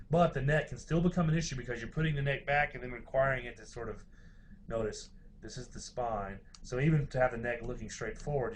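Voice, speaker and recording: A male university lecturer talks through slides in an informal, explanatory style. His voice sits at a medium pitch, and he often hedges and hesitates as he explains. Faint room noise runs behind him.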